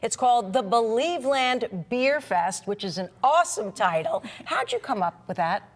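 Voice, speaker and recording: A woman's voice: a little high, big pitch swings, normal speed, a little hiss or hum. Playful woman hosting a TV news show. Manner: playful, bantering. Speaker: TV news host. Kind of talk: conversational live news show hosting and interviewing.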